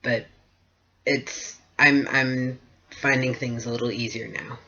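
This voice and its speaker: Slow, flat-voiced young man, bright tone. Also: medium pitch, vocal fry. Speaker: vlogger. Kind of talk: personal video diary. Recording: dull lo-fi recording.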